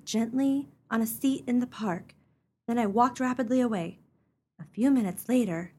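A woman's voice: fairly high pitch, a little mellow, close to an everyday voice. An actress playing a desperate, forlorn young mother in a comic radio melodrama, with earnest, melodramatic delivery.

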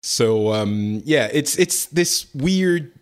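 Male panel host, mid pitch, casual and hesitant, lots of ums. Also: expressive, drawn-out words, clean tone. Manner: hesitant, many fillers, laughs easily. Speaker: podcast host.

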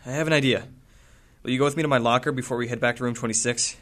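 A man's voice: mid-range voice, steady tone, rapid-fire, fairly bright tone, natural voice. A young male actor playing an anxious, earnest teenage schoolboy who takes charge, urgent and exasperated, in a radio drama. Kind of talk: serial radio drama acting.

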